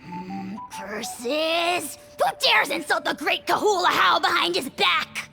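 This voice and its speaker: A woman's voice: very high-pitched, animated, takes it slowly, vocal fry, sharp tone, exaggerated voice. A woman voicing a tiny, pompous, foul-tempered dragon lord in a bombastic video game performance. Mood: haughty outrage. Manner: bombastic, insult-spitting, grandiose. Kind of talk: animated video game voice acting. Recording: game music behind the voice. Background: music.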